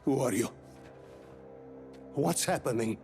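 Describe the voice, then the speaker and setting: An actor playing an emotional, kindly older scientist uncle in a video game cutscene, tender and earnest. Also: male voice, mid-range voice, slow pace, darkish tone, soft background music. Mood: bewildered.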